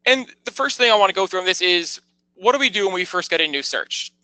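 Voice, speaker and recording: Bright-voiced man giving a software walkthrough. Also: high-pitched, expressive, fast, smooth, dry recording, rough recording. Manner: explanatory, step-by-step, informal. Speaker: software product demonstrator. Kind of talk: conversational product tour narration.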